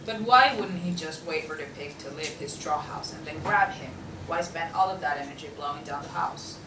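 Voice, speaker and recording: A woman's voice: low-pitched, normal speed, close to an everyday voice. A woman playing a reasonable, questioning pig juror in a student play reading. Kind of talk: student table read of a comic play. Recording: echoey noisy room, distant mic.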